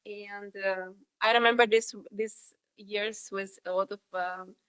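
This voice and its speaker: A woman's voice: mid-range voice, fairly even intonation, a little slow, a bit bright, clean tone, dry recording. A woman from Russia in her thirties with a Russian accent, reflecting as a podcast guest, with many fillers and restarts. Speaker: guest, dance instructor. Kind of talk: podcast interview.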